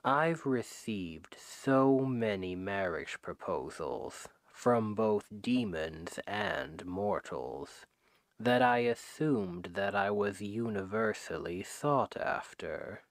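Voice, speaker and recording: A man playing a suave, menacing, possessive demon king who woos his captive with slow, deliberate, softly condescending speech in an ASMR roleplay, close on the microphone. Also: fairly low pitch, creaky, dry recording, full character voice. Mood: hushed, vulnerable. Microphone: close microphone, dry.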